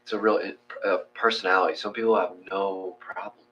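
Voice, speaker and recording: A man co-hosting a meditation class, talking casually with halting, friendly restarts and fillers. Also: low-pitched, little pitch movement, sharp tone, soft background music. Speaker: meditation co-host. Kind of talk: guided meditation and casual chat. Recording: dull lo-fi sound with faint hum.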